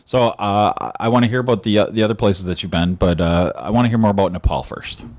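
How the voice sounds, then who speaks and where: A man hosting a casual podcast interview, asking relaxed questions with plenty of fillers. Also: fairly low pitch, fast talker. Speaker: podcast host.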